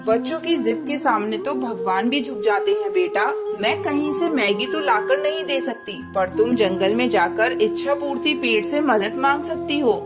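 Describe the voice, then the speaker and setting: A woman's voice: on the high side, animated, moderate pace, few pauses, over loud music, natural voice. A woman voicing a wise, kindly old grandmother bird in a children's cartoon, patiently giving advice.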